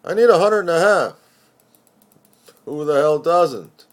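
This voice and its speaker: A man's voice: on the high side, expressive, measured pace, pitched above the performer's own voice. A man voicing a pushy, broke drinking acquaintance in a poem, with emphatic, slangy talk. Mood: pushy, agitated.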